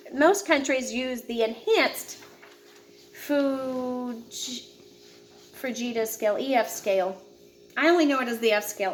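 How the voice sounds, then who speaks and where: A woman's voice: on the high side, a little hiss or hum. A woman reading a nonfiction book aloud, informally, with casual asides and stumbles she corrects herself. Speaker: read-aloud presenter.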